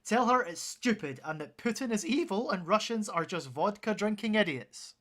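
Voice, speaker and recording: Animated, sweary game streamer. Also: medium pitch, normal speed. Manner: sweary, sarcastic, mocking. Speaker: streamer playing a video game. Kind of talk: reacting game commentary.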